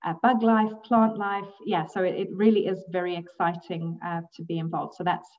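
A woman's voice: fairly low pitch, steady tone, normal speed, distant mic, lo-fi sound. A woman, a guest in a casual interview, talking hesitantly with many ums and easy laughs. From grew up in South Africa. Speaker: guest, bat conservationist.

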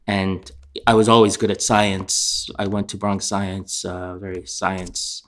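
Low-voiced man answering haltingly in an interview. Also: flat delivery, a bit bright. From born in Korea, raised in England and New York. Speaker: interview guest.

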